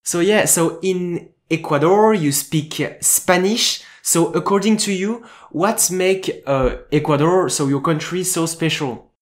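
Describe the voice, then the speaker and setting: A young man hosting an interview over a video call from home, asking questions haltingly with many fillers. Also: high voice. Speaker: host. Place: home room. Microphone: earphone mic on a video call.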